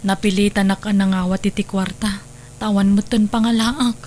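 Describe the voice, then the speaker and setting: A woman playing a caring, steady wife and forgiving stepmother in an audio drama. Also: mid-range voice, normal speed, slight background noise, lightly acted. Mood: gentle, caring. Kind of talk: Ilocano audio drama acting.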